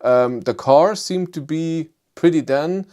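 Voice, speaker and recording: A man's voice: slow, mellow. Hesitant man with a German accent. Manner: hesitant, low-key, many fillers, self-deprecating. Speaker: livestream host. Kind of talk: casual live chat answering viewers.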